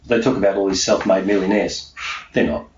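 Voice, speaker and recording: A middle-aged man with a low voice talks in an emphatic, conversational way, repeating points for weight. He sounds set back from the microphone in a very echoey room, like a guest answering interview questions. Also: fairly even intonation, a little fast, a little mellow. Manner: emphatic, repetitive, punctuates points with "okay". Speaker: interviewee, self-employed mindset coach. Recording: roomy, distant, muffled, faint background noise.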